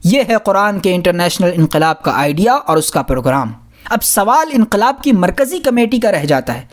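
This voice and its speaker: A woman reading a scholarly religious audiobook in a measured, formal manner. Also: lowish voice, big pitch swings. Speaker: audiobook reader. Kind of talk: scripted narration of a scholarly religious book.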